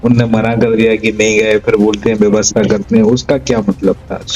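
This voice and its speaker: A man's voice: fairly low pitch, level tone, brisk, darkish tone, soft background music. A man working as a news reporter, putting questions to an interviewee. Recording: dull, band-limited sound.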